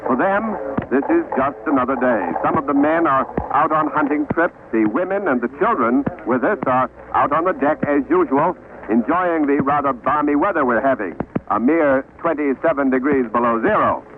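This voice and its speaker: An actor playing an earnest, formal newsman in an old-time radio docudrama, reporting in measured phrases from a polar expedition ship. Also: mid-range voice, lively intonation, lightly acted. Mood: earnest, steady. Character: earnest male radio newsman hosting from a polar ship's radio shack. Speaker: anchor reporter. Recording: noisy, tinny vintage radio recording.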